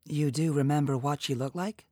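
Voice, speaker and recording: A woman's voice: low-pitched, normal speed, dark tone, slightly rough, no room sound, clean studio sound. A woman voicing a persistent, tactful female federal agent in an audiobook, with a probing and controlled manner. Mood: professional, probing.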